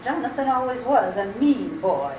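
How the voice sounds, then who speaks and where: A woman's voice: on the high side, very animated, normal speed, a little mellow, distant mic, slight background noise. A woman playing a sweet, dotty, cheerfully macabre old aunt in an old-time radio comedy, recorded in a roomy space. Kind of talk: 1940s radio comedy acting.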